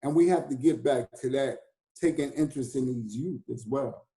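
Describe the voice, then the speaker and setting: An American man in his fifties talks through his memories in an easy, unhurried way. His voice is mellow and warm, and he laughs easily. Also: medium pitch. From United States. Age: 50s. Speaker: DJ and hip house artist. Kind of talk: casual conversation.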